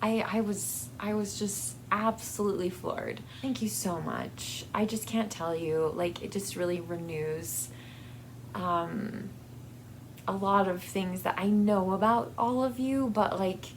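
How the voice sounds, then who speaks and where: A woman chatting casually as a book vlog host, in a halting, hesitant way that often trails off mid-thought. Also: medium pitch, steady tone, slight background noise, poor audio.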